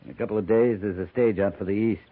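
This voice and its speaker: An actor playing a dutiful, restrained cavalry captain, measured and courteous, in a 1950s radio western. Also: male voice, lowish voice, steady tone, natural voice. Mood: restrained, earnest. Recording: tinny, noisy 1950s radio recording.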